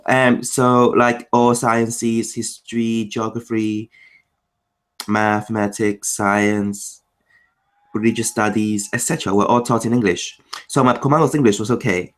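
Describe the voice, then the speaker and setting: A man from Macau whose first language is Cantonese, answering interview questions in English in a casual chat, explaining in quick bursts and rattling off lists. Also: on the low side, flat delivery. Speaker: interview guest.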